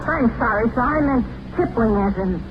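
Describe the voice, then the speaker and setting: A man's voice: very high voice, moderate pace, highs cut off. An actor playing a dreamy, impulsive young elevator boy with a plaintive, hesitant manner in an old-time radio comedy. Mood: sheepish, wistful. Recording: noisy old radio recording, steady hiss.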